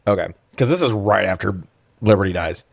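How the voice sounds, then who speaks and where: A man's voice: fairly low pitch, animated, brisk, vocal fry, no echo, studio quality. A man co-hosting a casual film review, recapping scenes with sarcastic, sweary banter. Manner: sarcastic, profane. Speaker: podcast co-host reviewing films.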